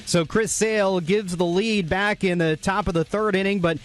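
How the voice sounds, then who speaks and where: High-pitched American man recapping baseball highlights. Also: steady tone, moderate pace, faint music behind. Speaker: radio sports recap host. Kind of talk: sports highlights recap narration.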